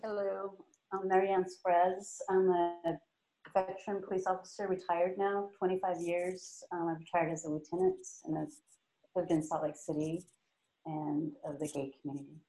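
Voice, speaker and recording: A woman who is a retired police lieutenant, introducing herself quietly at a meeting. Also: fairly low pitch, flat delivery, moderate pace, poor audio. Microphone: video call.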